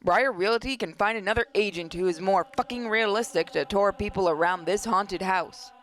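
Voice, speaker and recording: A woman hosting a gaming video, reading a visual novel aloud dramatically with playful, expressive asides. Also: medium pitch, moderate pace.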